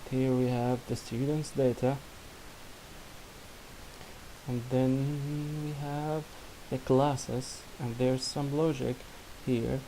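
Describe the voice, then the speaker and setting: A young man from Syria with an Arabic accent, a non-native English speaker, demonstrating his student project in halting speech full of restarts and fillers. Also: medium pitch, little pitch movement, dark tone, slight background noise, poor audio. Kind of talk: screen-recorded software demo.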